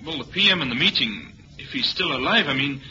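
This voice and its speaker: An actor with a British accent playing a deferential aide to a spy chief in a 1970s radio drama. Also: male voice, fairly high pitch, expressive, a little fast, pushed and bright, faint music behind. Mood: anxious. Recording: dull, hissy old radio recording.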